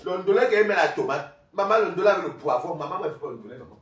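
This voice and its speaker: Man, Cameroonian French accent, high voice, very lively swooping pitch, rambling gossipy livestream chat. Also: racing. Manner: rambling, animated, gossipy, laughs often. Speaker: livestream host. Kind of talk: live-stream chat with viewers.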